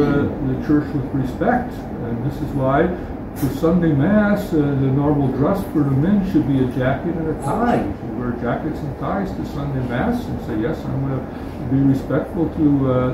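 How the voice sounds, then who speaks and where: Older priest, halting homily in echoey chapel. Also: male voice, mid-range voice. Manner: halting, many uh fillers and restarts. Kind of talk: informal homily. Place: small chapel indoors. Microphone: far camera. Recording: echoey chapel from far off, room noise.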